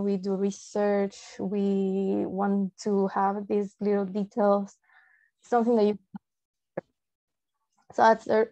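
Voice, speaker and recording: A woman's voice: medium pitch, creaky, mellow. A young adult woman from Peru, a native Spanish speaker with a Peruvian Spanish accent, giving an informal, explanatory online presentation. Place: indoor room, on a video call. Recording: dull, lo-fi video call audio.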